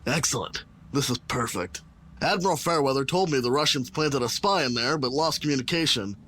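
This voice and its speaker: A man's voice: medium pitch, a little fast, a bit bright, slight background noise, close to an everyday voice. A man playing an eager, decisive warship captain in a sci-fi audio drama.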